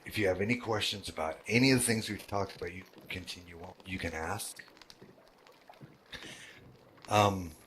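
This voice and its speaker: A man with a deep voice who runs a roleplay world, talking casually to his group, halting, with many fillers and restarts. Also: a bit bright, a little hiss or hum. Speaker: roleplay game world organizer.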